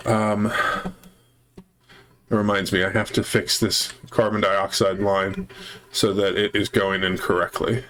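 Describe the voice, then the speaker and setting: A man's voice: mid-range voice, a bit bright, poor audio. A man with a chest cold, hosting a let's play and methodically explaining his base repairs step by step. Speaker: gaming channel host.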